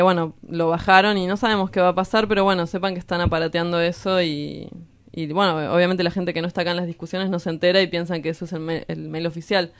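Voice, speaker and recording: A woman's voice: fairly low pitch, clean tone, no room sound. A woman with an Argentine accent hosting a radio show, chatting casually and emphatically about activism in colloquial speech. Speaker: radio host.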